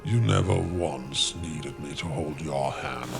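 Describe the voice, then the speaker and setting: A man playing a stern, cold military father and boss in an animated comic dub, with a gravelly voice and a formal, clipped, unyielding manner. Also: a bass voice, slow pace, full character voice. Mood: stern, dismissive. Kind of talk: animated comic fandub acting. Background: music.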